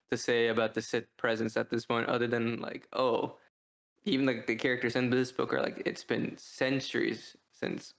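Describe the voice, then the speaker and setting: A man's voice: medium pitch, vocal fry, fairly bright tone. A man co-hosting a casual podcast book discussion, thinking aloud with hesitant restarts as he goes. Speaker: podcast co-host.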